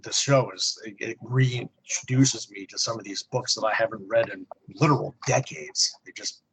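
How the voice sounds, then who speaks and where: A middle-aged American man co-hosting a podcast, chatting casually in a halting, wry way. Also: lowish voice, vocal fry, a bit bright, slightly rough, low-quality recording. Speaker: podcast co-host. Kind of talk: casual chat about old comics.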